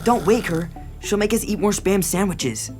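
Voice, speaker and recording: A man's voice: high voice, moderate pace, over music, close to an everyday voice. A male performer playing a good-natured, nerdy young brother with a casual, boyish manner in an audio drama. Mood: mildly grumbling.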